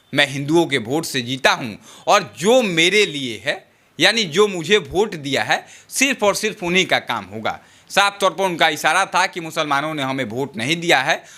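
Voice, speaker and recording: A man's voice: a little high, bright, forceful tone. A man presenting and explaining political news in an emphatic, repetitive way.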